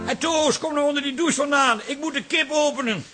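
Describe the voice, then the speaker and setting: A man's voice: extremely high pitch, brisk, loud music behind the voice. A male actor playing an exasperated middle-aged pub landlord in a radio comedy, blustering and grumbling. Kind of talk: radio comedy drama acting.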